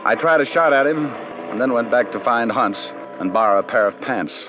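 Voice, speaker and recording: An American man playing a wry, hard-boiled private detective in vintage radio drama style, with flippant deadpan delivery. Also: mid-range voice, normal speed, natural voice. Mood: wry narration. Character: wisecracking, hard-boiled male private detective narrating his case. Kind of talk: 1940s-50s radio detective acting and narration. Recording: dull vintage radio recording with music.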